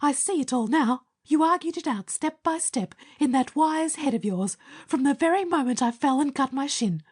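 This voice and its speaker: A woman's voice: sits high, darkish tone, pitched above the performer's own voice. A woman voicing a small, eager male mole who gushes praise in a breathless rush, in a storybook reading. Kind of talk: audiobook character voice.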